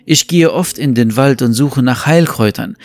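A man's voice: medium pitch, moderate pace, darkish tone, dry recording, close to an everyday voice. A man voicing a king's earnest young son in a fable, speaking eagerly. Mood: happy, eager.

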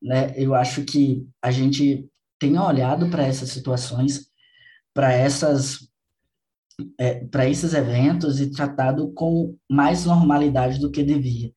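A man hosts a podcast conversation in a mellow, warm-toned voice at a middle pitch. He speaks earnestly and reflectively, leaning on repeated phrases to stress his point. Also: steady tone, takes it slowly, poor audio. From Bahia, Brazil. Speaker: podcast host.

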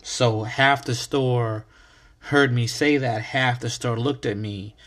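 A young man from the United States hosts his own podcast and tells a story in a loose, chatty monologue. He is animated and foul-mouthed, and his voice often jumps into sudden loud outbursts. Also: medium pitch, slow. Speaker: podcast host.

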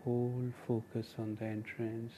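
Indian guy doing guided meditation, flat mellow voice, short calm instructions w pauses, bit of background noise. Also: mid-range voice, low-quality recording. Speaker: meditation teacher and podcast host.

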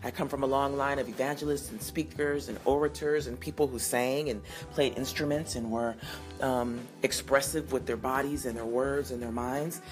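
Woman, forties, podcast host, husky low voice, earnest and affirming, faint music behind. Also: steady tone, moderate pace. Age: 40s. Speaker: singer-songwriter hosting a call-in podcast. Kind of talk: reflective podcast talk answering listener messages.

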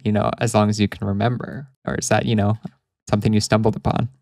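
A man from Canada hosting a relaxed call-in interview, chatting in an easygoing, encouraging way. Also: lowish voice, quick pace, creaky. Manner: easygoing, encouraging, laughs along. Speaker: host. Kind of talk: casual interview chat.

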